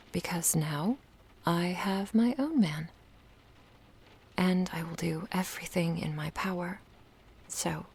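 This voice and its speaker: A woman playing a lovestruck, lonely witch in an ASMR roleplay, soft-spoken, whispery and seductive in a tender, intimate way. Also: lowish voice, exaggerated voice. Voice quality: breathy, whispery. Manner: slow, tender, intimate delivery.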